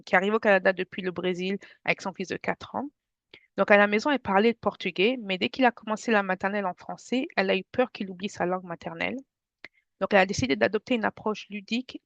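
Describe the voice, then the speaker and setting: A woman from France presents an online workshop to parents in a friendly, conversational manner. Her voice sits at a middle pitch. The video-call audio is dull and dry, with no room sound. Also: no echo. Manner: friendly, conversational, addresses the audience directly. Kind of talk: informal webinar presentation to parents.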